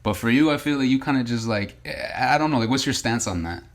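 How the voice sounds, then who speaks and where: A man's voice: mid-range voice, animated, fast. A man from Colorado hosting a podcast in a casual, rambling way, packed with filler words. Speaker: podcast host. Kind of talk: casual chat.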